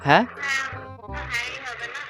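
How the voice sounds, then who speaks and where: A woman's voice: on the high side, animated, moderate pace, music in the background. A young woman, curt and dismissive in a casual phone conversation. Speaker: prank call recipient.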